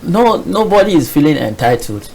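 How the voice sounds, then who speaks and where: A man's voice: a little high, big pitch swings, normal speed, gravelly, darkish tone, slightly rough, low-quality recording. A man from southeastern Nigeria with a Nigerian accent, speaking insistently as a studio guest in a political discussion and often repeating himself.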